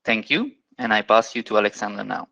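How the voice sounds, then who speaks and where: A man hosting a business webinar, introducing the guest speaker in a formal, measured way. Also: on the low side, creaky, sharp tone, muffled recording. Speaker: webinar host. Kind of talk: scripted introduction.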